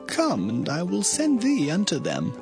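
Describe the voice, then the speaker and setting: An actor playing a stern old patriarch father in a Bible drama, speaking in a husky voice with slow, theatrical emphasis. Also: high-pitched, wildly swooping pitch, over music, heavily acted. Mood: commanding. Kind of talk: dramatized Bible audio acting.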